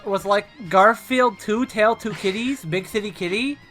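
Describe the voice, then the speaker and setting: A woman co-hosting a casual film review podcast, talking in halting bursts with frequent restarts. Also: medium pitch, a bit bright, soft background music. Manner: halting, restarts, laughs often. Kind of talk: casual chat.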